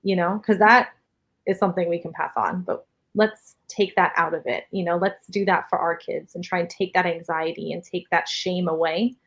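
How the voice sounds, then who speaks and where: A woman from New York City with a New York area accent, a speech therapist answering interview questions in a steady, explanatory way full of "like.". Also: lowish voice, moderate pace. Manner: explanatory, steady, many fillers of "like". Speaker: speech-language pathologist guest.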